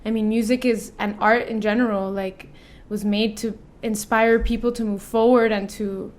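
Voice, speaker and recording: A woman's voice: on the high side, steady tone, normal speed. Young woman, thoughtful and easygoing. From Venezuela. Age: 20s. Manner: thoughtful, restarts often, mixes in Spanish words. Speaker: singer-songwriter guest. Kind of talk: casual interview conversation.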